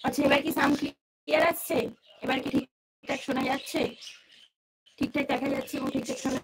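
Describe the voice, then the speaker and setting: Woman, high pitch, casual devotional live host, repetitive check-ins, noisy recording with clicks. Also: little pitch movement, a little fast. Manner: repeats greetings and questions to viewers. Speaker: livestream host. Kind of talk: casual devotional live chat, greeting viewers. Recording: clearly noisy livestream audio with clicks.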